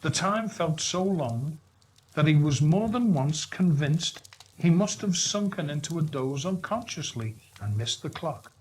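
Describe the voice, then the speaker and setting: A man reading a storybook aloud in an expressive narration, with measured, deliberate and dramatic phrasing. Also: fairly high pitch, breathy edge, rough recording. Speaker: story reader.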